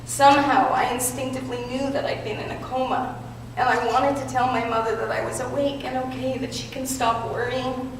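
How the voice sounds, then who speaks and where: A woman giving a prepared, earnest speech at an awards ceremony, telling her own story in a measured way. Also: high voice, little pitch movement. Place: large hall with audience. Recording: echoey hall from far off, background noise.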